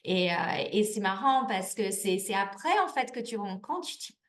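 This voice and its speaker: A woman's voice: medium pitch, expressive, moderate pace, a bit bright. A woman in her thirties answering interview questions in a chatty, candid way, with lots of restarts and fillers. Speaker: network marketing manager, guest. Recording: echoey room.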